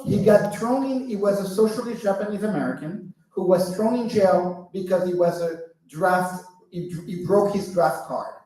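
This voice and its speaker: A man's voice: high-pitched, normal speed, hardly any pauses, mellow. A man from New York arguing animatedly on a panel, interrupting himself with "hold on". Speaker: party chair panelist. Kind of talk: panel debate. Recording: very echoey room, distant mic.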